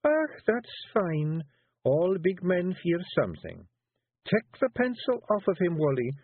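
A man's voice: high-pitched, very animated, slightly rough, no room sound, narrow, tinny audio, voice pushed up, exaggerated voice. A man doing a bossy wee Scottish pixie wife. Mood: coaxing. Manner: brisk, coaxing and bossy. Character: sharp-witted female pixie clan wife, bossy.

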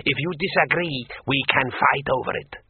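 A man's voice: high-pitched, expressive, moderate pace, husky, no echo, phone-quality sound, pitched above the performer's own voice, exaggerated voice. A man voicing a scornful, argumentative dragon-riding lord in a fantasy audiobook. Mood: firm. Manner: scornful, challenging. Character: haughty, argumentative young lord, tall and wiry dragon rider.

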